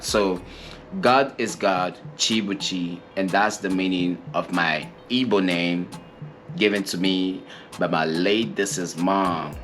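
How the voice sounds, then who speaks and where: Young Nigerian man, deep voice, sincere unhurried delivery, soft music bed. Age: 20s. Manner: earnest, heartfelt, unhurried. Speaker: co-host. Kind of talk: casual chat.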